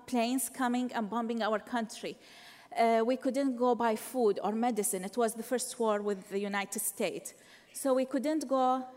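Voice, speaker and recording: A woman's voice: fairly high pitch, a little mellow, big-room sound. Earnest Iraqi woman giving testimony. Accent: Iraqi Arabic. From Iraq. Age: 40s. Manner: earnest, measured, non-native phrasing. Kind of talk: faith testimony. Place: church sanctuary.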